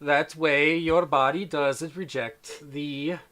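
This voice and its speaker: A man voicing a brisk, bossy female medic in a tabletop game, giving matter-of-fact instructions. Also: a little high, steady tone, crowd noise. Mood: clinical, reassuring.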